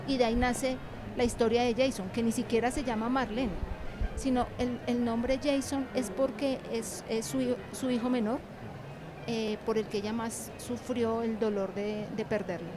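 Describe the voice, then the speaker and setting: A woman answering interview questions as an author and teacher, reflective and halting, in short repeated phrases. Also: on the high side, little pitch movement, a crowd in the background, rough recording.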